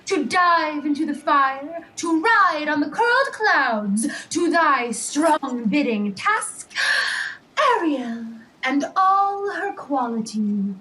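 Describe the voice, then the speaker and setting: A woman playing an eager, spirited sprite of the air in a Shakespearean audio drama, speaking verse in a theatrical, deliberate way. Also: high-pitched, expressive, pushed and bright, full character voice, low-quality recording. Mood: eager, reverent. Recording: remote recording with faint background noise.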